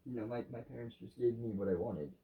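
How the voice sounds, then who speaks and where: A man's voice: medium pitch, quick pace, narrow, tinny audio, a crowd in the background. A man in a casual chat about religion with his wife, plainspoken, agreeable and blunt. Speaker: vlogger's husband, devotional reader.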